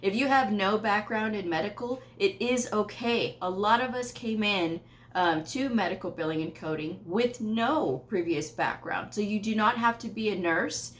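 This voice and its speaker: A woman's voice: medium pitch, normal speed. A woman in her thirties, a medical coding educator, giving firm, emphatic career advice straight to camera from a small home office. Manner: firm, emphatic, repeats points with occasional laughs.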